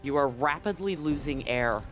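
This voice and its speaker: A man's voice: fairly high pitch, expressive, fairly bright tone, soft background music. A man running a tabletop science fiction game as game master, narrating scenes and rules with frequent restarts. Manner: halting, restarts mid-sentence while describing scenes.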